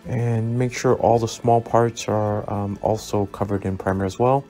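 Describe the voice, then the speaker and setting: A man narrating a scale model build as a relaxed tutorial, easygoing and full of ums and uhs as he recommends each step. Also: fairly low pitch, normal speed. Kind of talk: relaxed instructional voice-over. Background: soft background music.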